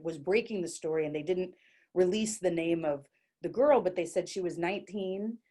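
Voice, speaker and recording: A middle-aged woman from Dallas performs a comic solo monologue. Her voice sits a little low for a woman. She talks in a chatty, self-mocking way, with plenty of likes and quick restarts. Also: dark tone. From Dallas, Texas.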